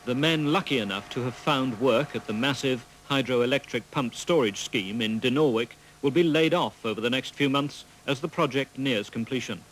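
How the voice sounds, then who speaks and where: Measured male documentary narrator. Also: medium pitch, fairly bright tone, slight background noise. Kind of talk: scripted narration.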